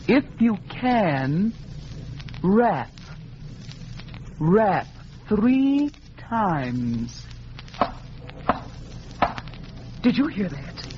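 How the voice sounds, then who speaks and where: An actress playing a scheming widow who poses as a spirit medium, melodramatic and tense, in a 1940s radio drama. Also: female voice, medium pitch. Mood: eerie summoning. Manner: melodramatic, deliberate. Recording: dull, noisy 1940s radio recording.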